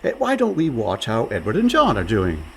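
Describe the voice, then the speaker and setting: An actor playing a quick-witted, placating con man who poses as a pirate in a comedic radio play. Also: mid-range voice, huge pitch swings, creaky, slight background noise. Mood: patient. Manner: wry, placating, articulate.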